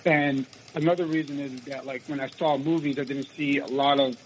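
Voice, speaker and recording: A raspy-voiced young man from the United States, an actor answering interview questions in a casual, rambling way with many restarts. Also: medium pitch, steady tone, brisk, slight background noise, poor audio. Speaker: actor guest.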